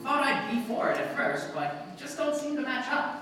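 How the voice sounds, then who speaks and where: Tipsy, animated writer in echoey hall. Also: male voice, extremely high pitch, normal speed, heavily acted. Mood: tipsy, earnest. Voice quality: loose, rambling drunken delivery with hiccups. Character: tipsy, earnest American male magazine writer, smitten and cynical. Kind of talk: live old-time radio play acting. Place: live stage performance in a hall. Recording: distant echoey hall, faint noise.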